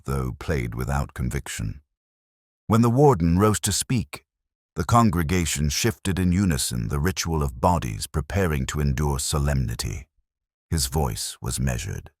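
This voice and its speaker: Guy doing a really deep melancholy british inspector telling his case, measured, literary, close dry sound. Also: no room sound, heavily acted. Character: world-weary, melancholy British male police inspector, middle-aged or older. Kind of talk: scripted first-person detective audio drama narration.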